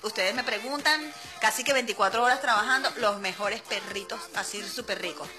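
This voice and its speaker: A woman's voice: medium pitch, brisk, over music. A woman with a Venezuelan accent hosting a radio show, chatting casually and playfully, laughing often as she talks to her listeners. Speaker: radio host. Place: radio studio.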